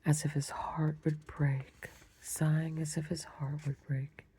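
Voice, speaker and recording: A storyteller whispering a bedtime story and breaking off for soft, apologetic, chatty asides. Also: medium pitch, flat delivery, unhurried, a little hiss or hum. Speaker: storyteller reading aloud.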